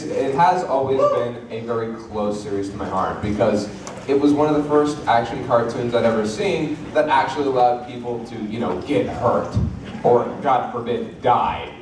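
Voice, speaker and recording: A man speaking as a convention panelist, telling a story casually and haltingly to a live audience. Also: mid-range voice. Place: large hotel convention panel room. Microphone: far audience camera. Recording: echoey hall, distant noisy handheld camera audio.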